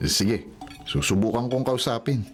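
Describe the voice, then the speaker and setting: A man's voice: mid-range voice, normal speed, soft background music, natural voice. A man playing a bemused, protective older brother in a Filipino radio romantic comedy, questioning and incredulous. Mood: puzzled, concerned.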